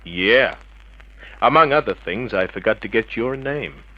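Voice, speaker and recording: An actor playing a wisecracking, hard-boiled private detective in an old-time radio drama, sardonic and clipped. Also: male voice, fairly low pitch, animated, unhurried. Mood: probing, sardonic. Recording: dull vintage radio recording.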